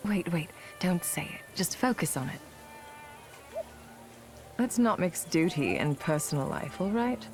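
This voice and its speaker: A woman's voice: on the low side, expressive, measured pace, pauses often, over music. An actress playing a shrewd, wry and confident female conspirator in a video game. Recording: clear ambient noise behind voice.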